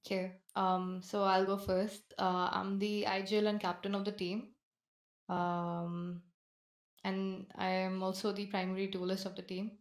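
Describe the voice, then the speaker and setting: A woman's voice: mid-range voice, flat delivery, a little slow, reverberant. A woman, answering interview questions briefly and hesitantly as team captain. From India. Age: 20s.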